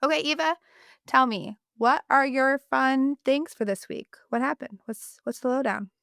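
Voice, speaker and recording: A woman's voice: high-pitched, moderate pace, vocal fry, fairly bright tone, no echo, clean studio sound. A young adult woman co-hosting a casual podcast, sounding congested from a cold, enthusiastic and rambling. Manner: enthusiastic, rambling, self-correcting. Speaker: podcast co-host, speech-language pathologist. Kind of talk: casual chat.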